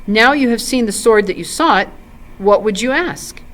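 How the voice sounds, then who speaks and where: A woman narrator plays a resolute ranger, a noble man from a storybook. He declares things solemnly, with an expressive, varied pitch. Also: normal speed.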